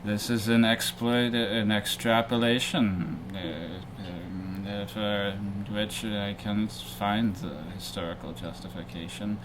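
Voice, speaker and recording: A man reading a debate transcript aloud in a deliberate, halting way. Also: fairly low pitch, level tone. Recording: faintly noisy amateur recording.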